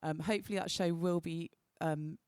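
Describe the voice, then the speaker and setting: A woman's voice: on the low side, fairly even intonation, normal speed, darkish tone, smooth, no echo, clean studio sound. A woman presenting a music radio show in a casual, chatty way, reminiscing as she introduces tracks. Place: radio studio.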